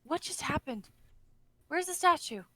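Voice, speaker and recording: A woman's voice: high voice, expressive, unhurried, bright, forceful tone, no room sound, heavily acted. A young woman playing a frightened, confused, powerless heroine, pleading and bewildered in an amateur script reading. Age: young adult.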